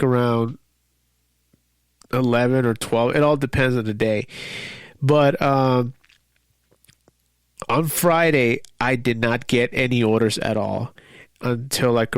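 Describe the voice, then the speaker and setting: A man's voice: mid-range voice, gravelly, no echo, studio quality. A man hosting his own podcast while sick with a cold and stuffy. He rambles, restarts sentences and sounds defiant at times. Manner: rambling, repeats words, frequent fillers; defiant, frustrated. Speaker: podcast host. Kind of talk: casual solo podcast monologue.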